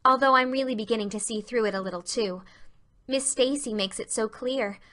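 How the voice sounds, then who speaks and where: Audiobook role: earnest romantic schoolgirl, played by a woman, effusive and dramatic, bright clear-edged tone. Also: on the high side, fairly quick. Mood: gushing. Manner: gushing, dramatic.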